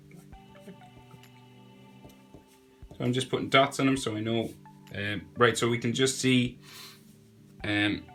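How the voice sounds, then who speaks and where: A young man with a cold, sounding nasal, chatting casually as he talks through a drawing step by step. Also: mid-range voice. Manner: relaxed, chatty step-by-step instruction. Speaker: drawing tutor. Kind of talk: narrated drawing tutorial. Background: faint music.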